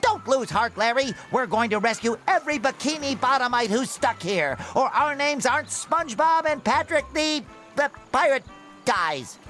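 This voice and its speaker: Game cutscene role: childlike talking sponge, very high and animated, earnest, cheery, excitable. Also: male voice, moderate pace, faint music behind, exaggerated voice. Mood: earnest, encouraging. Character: eager, childlike, naive male talking sea sponge.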